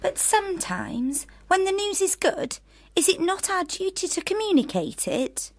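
Very high, animated young sister. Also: female voice, measured pace, no echo, heavily acted. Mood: pointedly eager. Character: outspoken, indignant young sister, pious and proud. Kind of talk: radio play acting.

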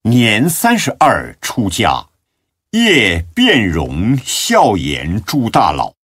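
Deep, very slow male narrator, husky. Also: wildly swooping pitch, fairly bright tone, no echo, studio quality. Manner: measured, dramatic recitation. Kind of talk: solemn scripted narration of classical religious biography.

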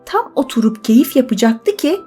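A woman narrating a scripted children's bedtime story expressively, with dramatic pauses. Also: high voice, big pitch swings, mellow, husky, soft background music.

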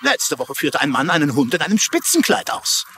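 Wisecracking male street witness, high voice, faint noise, crime drama. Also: sing-song, moderate pace, bright, forceful tone, heavily acted. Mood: wry. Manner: wry, wisecracking. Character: wisecracking, chatty male city eyewitness. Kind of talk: dubbed TV crime drama acting.

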